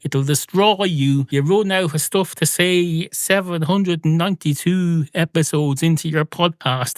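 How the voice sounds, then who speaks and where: A man's voice: on the high side, no room sound, clean studio sound. A man hosting a solo comic podcast, rambling and digressive, questioning himself and grumbling in mock complaint. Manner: sweary. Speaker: podcast host.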